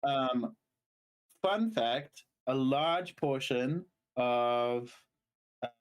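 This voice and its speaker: A man from Zimbabwe talks as a podcast co-host in a casual, conversational way. His voice sits at a medium pitch, and he rambles through his stories, often halting and restarting. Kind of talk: casual chat and storytelling.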